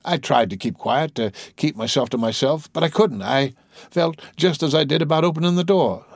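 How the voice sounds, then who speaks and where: A man voicing a lonely, excitable little old man in an audiobook, with a thin, shaky, halting delivery. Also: a little high, no room sound, studio quality, voice pushed up. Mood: agitated, pleading. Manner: halting, stammering, repeating words.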